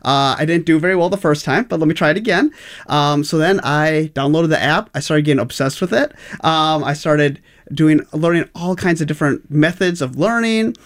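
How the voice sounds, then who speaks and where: A man's voice: a little high, moderate pace. A young man casually telling his own language-learning story, upbeat, with many "um" and "uh".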